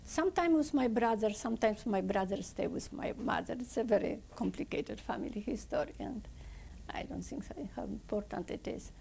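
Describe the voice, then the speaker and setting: A woman in her seventies from Poland with a Polish accent, giving halting, slow oral history testimony in non-native English. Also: fairly high pitch, expressive, vocal fry, darkish tone, whispered. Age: 70s. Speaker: interviewee.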